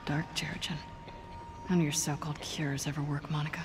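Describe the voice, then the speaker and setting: A woman's voice: low voice, level tone, brisk, lightly acted. An actress playing a tough, dry-witted female spy hero in a video game cutscene, clipped in manner. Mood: muttering, tense. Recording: music behind the voice.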